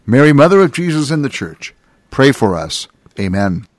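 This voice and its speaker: Male deacon, measured reverent prayer reading, dry close sound. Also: mid-range voice, big pitch swings, dry recording. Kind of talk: leading a spoken prayer.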